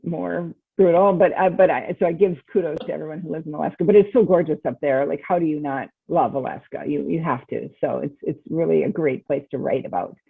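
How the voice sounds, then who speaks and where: Middle aged american lady chatting away in an interview, bit husky, sounds like a phone line. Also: sits low, fairly quick, hardly any pauses, dry recording. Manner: chatty, emphatic, peppers talk with "right?" and "you know". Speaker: guest novelist. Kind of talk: casual interview chat. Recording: thin, narrow phone-like call audio.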